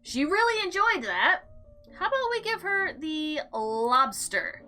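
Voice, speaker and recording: A woman hosting a visual novel playthrough, reading aloud with expressive, playful asides and casual commentary. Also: very high voice, a little slow, faint music behind. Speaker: gaming video host.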